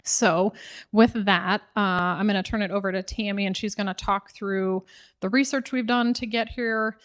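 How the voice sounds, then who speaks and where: A woman's voice: medium pitch, fairly even intonation, moderate pace. A woman city official with an American Midwest accent, explaining zoning rules to residents at a public meeting, with frequent ums and restarts.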